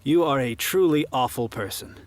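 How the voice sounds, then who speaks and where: A man's voice: mid-range voice, moderate pace, slight background noise, natural voice. A young man with an American accent acting a skeptical but loyal college friend in a radio drama, wry and exasperated. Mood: wry, worried. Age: young adult.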